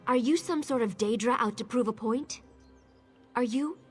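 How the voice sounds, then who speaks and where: A woman's voice: fairly high pitch, normal speed, slightly rough, faint music behind. A woman playing a bitter, jaded younger sister who left a cult, guarded and sarcastic, in video game dialogue. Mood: wary, sardonic.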